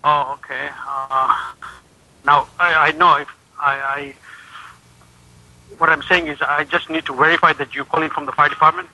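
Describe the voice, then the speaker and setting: A man's voice: on the high side, little pitch movement, normal speed, pauses often, sharp tone, dry recording. A man in an unscripted phone conversation, hesitant and stammering, often repeating himself, heard over a telephone line. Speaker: prank call victim answering the phone at work.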